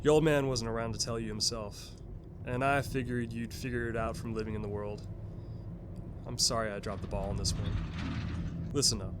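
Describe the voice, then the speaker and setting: An actor playing a folksy, earnest retired uncle in an audio sketch comedy. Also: male voice, mid-range voice, steady tone, normal speed, pauses often, noisy background, lightly acted. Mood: earnest explaining. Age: older. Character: retired fatherly uncle, earnest and matter-of-fact about monsters.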